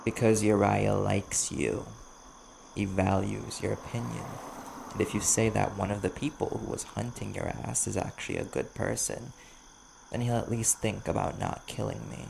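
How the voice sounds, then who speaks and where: A man playing a cautious, sardonic vampire bounty hunter in an audio roleplay, speaking in a gravelly voice with measured, dry delivery and pointed pauses. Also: lowish voice, steady tone, background noise. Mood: dry, bargaining. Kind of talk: audio roleplay, slow dramatic monologue to the listener.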